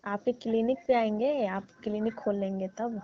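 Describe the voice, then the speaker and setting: A woman's voice: medium pitch, steady tone, normal speed. A young woman on a staged comic phone call, teasing and coaxing the man she calls uncle, playing a patient. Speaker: caller posing as patient. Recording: muffled phone call recording.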